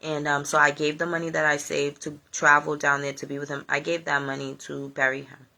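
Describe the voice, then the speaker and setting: A woman's voice: low-pitched, level tone, a little fast, gravelly, pushed and bright. A woman whose first language was Spanish, hosting a casual, rambling livestream with plenty of fillers. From United States, Honduran family. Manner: rambling, chatty, many fillers. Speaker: livestream host. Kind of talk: casual reflective live chat.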